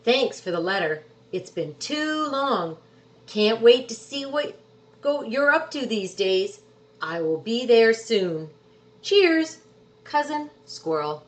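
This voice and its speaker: A woman's voice: fairly high pitch, big pitch swings, slow. A woman voicing a friendly, eager male squirrel from a children's storybook, reading out a letter in a cheery, emphatic way. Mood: cheery, excited.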